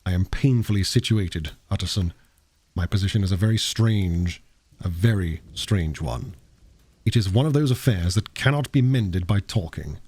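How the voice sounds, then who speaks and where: Guy doing victorian doctor in an audiobook, troubled n secretive, formal pleading manner, low husky voice. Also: animated, normal speed, a little mellow, no room sound. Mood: pained.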